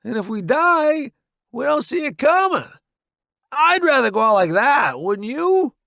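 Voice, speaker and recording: A man playing a reckless, gung-ho young soldier under fire in a dramatised audiobook, shouting his words. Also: very high voice, very animated, unhurried, vocal fry, dry recording, studio quality, pitched above the performer's own voice, exaggerated voice. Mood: grimly resigned. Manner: shouting, yelling orders. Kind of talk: dramatised audiobook character dialogue.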